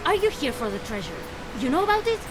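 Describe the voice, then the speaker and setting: A bold young heroine of a swashbuckling game. The high voice moves animatedly, sassy and full of spirit, with clear music behind. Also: female voice, wildly swooping pitch, fast talker. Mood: playful, warm. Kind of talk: animated video game acting.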